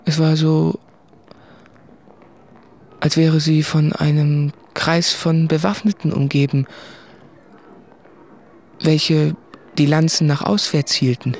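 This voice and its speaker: An actor playing an anguished, introspective writer in a literary radio drama, confessing in a halting, brooding way. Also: male voice, on the high side, steady tone, a little mellow. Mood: pained, brooding. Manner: slow, halting, brooding, with deliberate pauses.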